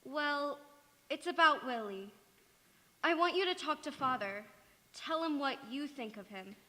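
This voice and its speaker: A woman's voice: high-pitched, animated, unhurried, sharp tone, full character voice. A woman playing an earnest, pleading young daughter in love in an old-time radio drama, in an echoey hall. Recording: echoey church hall stage.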